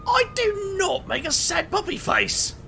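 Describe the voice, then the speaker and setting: A man's voice: very high voice, sing-song, measured pace, vocal fry, sharp tone, faint music behind, exaggerated voice. A man playing an anxious, caring, easily exasperated young man in an audio drama. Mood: indignant.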